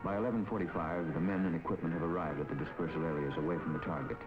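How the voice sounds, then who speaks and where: A man with an American accent gives grave, deliberate scripted narration in the style of a 1950s documentary. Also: sits low, steady tone. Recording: dull 1950s film soundtrack, loud music behind.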